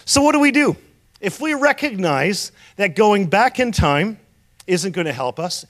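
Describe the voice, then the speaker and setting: Canadian guy preaching, pretty high voice, lots of questions to the crowd. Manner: rhetorical questions, storytelling preacher. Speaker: pastor. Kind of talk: sermon.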